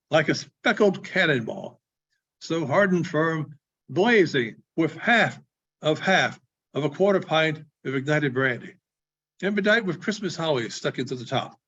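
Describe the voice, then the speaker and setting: A man reading a classic story aloud in a theatrical, deliberate manner with dramatic pauses. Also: a little high, big pitch swings, a bit bright, husky. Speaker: librarian giving a public reading. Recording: dull, muffled lo-fi recording.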